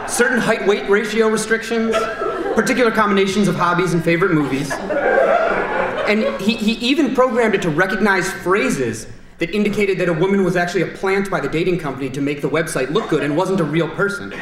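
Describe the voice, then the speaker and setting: A man in his thirties reading a humorous wedding toast from paper in a dry, deadpan way, in a large banquet hall. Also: a little high. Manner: dry, deadpan delivery over audience laughter. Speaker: wedding toast giver, friend of the groom. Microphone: handheld mic held low. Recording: roomy hall from a distant camera with crowd. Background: wedding guests laughing.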